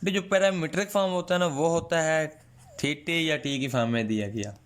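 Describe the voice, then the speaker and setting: A male math teacher, giving a patient online lecture with a sore throat, clearing it now and then. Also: fairly high pitch. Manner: slow, patient, calls students "son" often.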